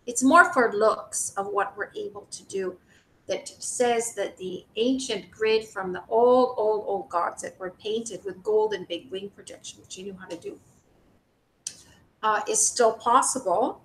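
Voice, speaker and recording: A woman giving an informal, rambling spiritual monologue to the public, speaking emphatically and indignantly with some swearing. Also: fairly bright tone. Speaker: video creator speaking to the public. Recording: roomy echo, noisy low rumble.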